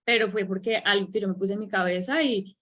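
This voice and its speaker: A woman's voice: medium pitch, fairly quick, fairly bright tone. A Colombian woman with a Colombian accent hosting an interview, coaching her guest with frequent tag questions. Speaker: host. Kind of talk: conversational interview.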